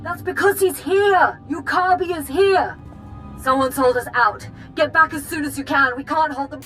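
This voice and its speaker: A woman's voice: high voice, normal speed, a bit bright, faint music behind. An actress playing an anxious female ally in a video game, urgent and pleading. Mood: panicked. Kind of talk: video game character acting.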